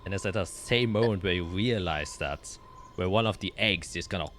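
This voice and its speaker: Low-voiced man narrating a fantasy game. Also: a bit bright, slight background noise. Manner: descriptive, dramatic storytelling with deliberate pauses. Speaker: game master. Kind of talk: narrating a tabletop roleplaying game.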